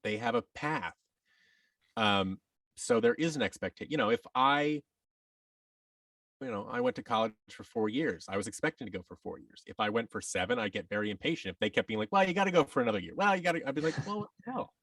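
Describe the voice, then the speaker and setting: A man's voice: medium pitch, animated, fast talker, a bit bright, no echo, distant mic. A man giving advice as a podcast guest in a casual, rambling, emphatic way, often voicing imagined quotes as he explains.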